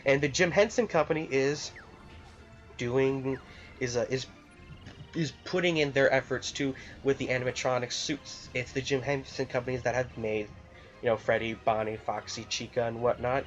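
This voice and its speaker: A man's voice: mid-range voice, steady tone, normal speed. A man talking casually and off the cuff as a gaming vlogger, in a rambling, hesitant way with repeated phrases. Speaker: video game YouTuber. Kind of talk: casual unscripted opinion vlog. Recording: dull lo-fi mic, music behind. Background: background music.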